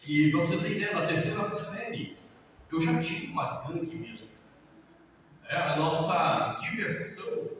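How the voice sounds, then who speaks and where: Animated storytelling pastor in a big echoing hall. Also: male voice, a little high. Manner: lively storyteller, acts out quotes, laughs. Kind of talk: sermon-style talk with personal stories. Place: large church hall. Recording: roomy church hall, distant mic, background noise.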